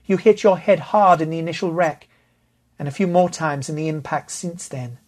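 Male narrator as female ship intelligence, raised pitch, direct, insistent, calm, sci-fi audiobook. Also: moderate pace, natural voice. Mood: firm, matter-of-fact. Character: Thea, calm, insistent female ship intelligence speaking inside the pilot's head.

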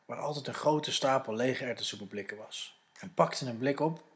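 A man's voice: mid-range voice, moderate pace. A man with a Netherlands Dutch accent, narrating a scripted story for children.

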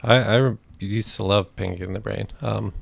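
A laid-back young man from Wisconsin with a low, gravelly voice, teasing his co-host in easy podcast chat. He is recorded close and dry. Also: steady tone, moderate pace, no echo. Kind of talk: casual chat.

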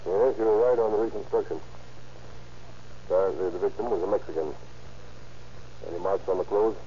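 An actor playing a brisk, commanding homicide captain in old radio crime drama style, clipped and authoritative. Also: male voice, sits low, natural voice. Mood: probing. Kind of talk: 1930s-40s radio crime drama acting. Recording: muffled, noisy old-time radio recording.

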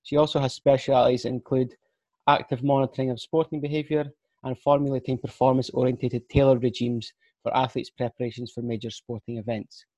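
A man hosts a sports podcast, reading out a guest's credentials and then asking questions. His voice is mellow and dark, and the recording is dry and close. He stumbles now and then with ums and slips. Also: medium pitch, little pitch movement, a little fast, dry recording, highs cut off. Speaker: podcast host.

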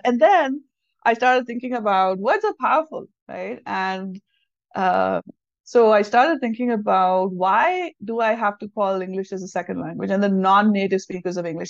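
Interview guest: Indian-accented woman, mid-pitched, thoughtful explainer, dry but muffled low-fidelity sound. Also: no room sound. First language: Hindi. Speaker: podcast guest, English language teacher and trainer. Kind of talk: conversational interview answers. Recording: dull lo-fi audio.

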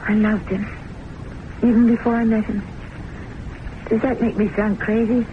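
A woman's voice: on the high side, little pitch movement, normal speed, lightly acted. Through a muffled, hissy old radio recording comes an intense, brooding secretary played by an actress. She is a weary, lovelorn woman who trusts nobody, voiced in classic radio drama style. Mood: wistful, intense.

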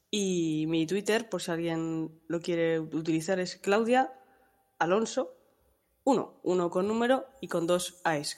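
A woman's voice: on the low side, a little mellow. Woman from Toledo, podcast guest, casual, chatty. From Toledo, Spain. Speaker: podcast contributor.

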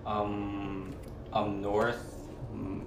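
A man's voice: lowish voice, completely flat delivery, extremely slow, gravelly, reverberant, noisy background. A man from the Philippines with a Filipino accent, speaking hesitantly with many fillers as an interview guest. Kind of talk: casual personal conversation.

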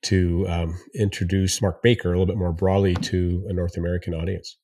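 A man's voice: sits low, level tone, brisk, dark tone, slight background noise. A man co-hosting a podcast, talking in an earnest, reflective way. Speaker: podcast co-host. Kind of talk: casual conversation.

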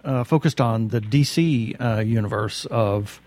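A man's voice: medium pitch, a little slow, a little mellow, no echo. A man co-hosting a casual interview podcast in an enthusiastic, exclamatory way. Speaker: podcast co-host.

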